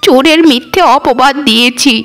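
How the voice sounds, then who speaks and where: A woman's voice: sits high, moderate pace, a bit bright. A woman voicing a stern old village mother-in-law in a cartoon, declaiming dramatically. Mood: remorseful pleading. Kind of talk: cartoon voice acting.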